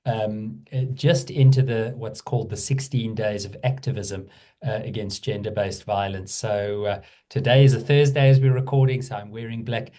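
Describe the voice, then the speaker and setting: Thoughtful South African man, mellow voice. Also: fairly low pitch, fairly even intonation. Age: middle-aged. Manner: measured, thoughtful with frequent fillers. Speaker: guest, theology professor. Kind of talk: conversational interview.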